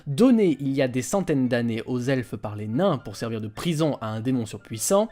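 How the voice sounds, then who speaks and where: A man's voice: mid-range voice, sing-song, normal speed, dark tone, natural voice. A man playing a cautious, skeptical adventurer and researcher in a fantasy audio drama, explanatory in manner. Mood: explaining. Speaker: voice actor in an amateur audio fiction.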